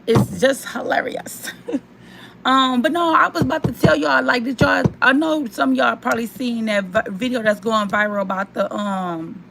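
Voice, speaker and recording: Hoarse young woman, slightly high voice, casual rambling vlog chat to viewers. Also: fairly bright tone, slight background noise. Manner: chatty and rambling, addresses viewers directly. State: hoarse, voice mostly gone. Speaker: vlogger.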